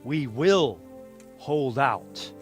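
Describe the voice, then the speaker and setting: A man's voice: medium pitch, animated, very slow, over music. An actor playing a wounded male lord who briefs his men on a battle plan with firm authority. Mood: stern. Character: wounded, stern male lord commanding a siege. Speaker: military commander.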